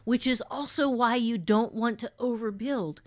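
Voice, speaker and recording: A woman's voice: on the high side, moderate pace, darkish tone. Female workshop teacher and co-host; conversational, explanatory, stresses and repeats key points. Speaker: fiction writing teacher and co-host.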